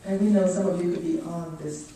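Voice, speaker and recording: A woman moderating a formal event, speaking courteously and deliberately to those attending. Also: on the low side, fairly even intonation, mellow. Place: indoor meeting room. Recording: echoey room, distant mic, faint noise.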